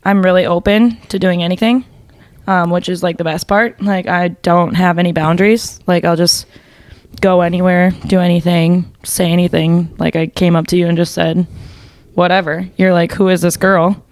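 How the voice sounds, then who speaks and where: A young woman talks in a casual interview, blunt and loud. She swears freely and says "like" all the time, recorded close and dry. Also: fairly low pitch, flat delivery, moderate pace, no echo. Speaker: makeup artist and guest.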